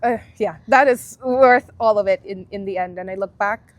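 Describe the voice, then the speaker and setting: A woman in her twenties from Guyana, a university alumna, talking through her experiences in a relaxed, reflective way as an interview guest. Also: mid-range voice, moderate pace, no echo. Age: 20s. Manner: reflective, friendly, thinks aloud with fillers. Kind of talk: casual interview chat.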